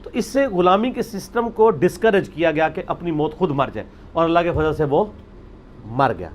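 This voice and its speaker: A man's voice: on the high side, lively intonation, moderate pace, a little hiss or hum. A man giving a religious talk, arguing his point with rhetorical questions and emphasis. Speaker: religious speaker. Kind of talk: lecture on religious practice.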